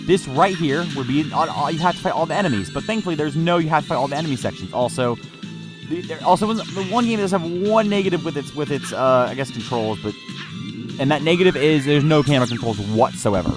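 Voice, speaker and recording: A man's voice: fairly high pitch, animated, fast. A man giving casual gameplay commentary, rambling and often repeating or correcting himself mid-sentence. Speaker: let's play narrator reviewing gameplay. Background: game music under the voice.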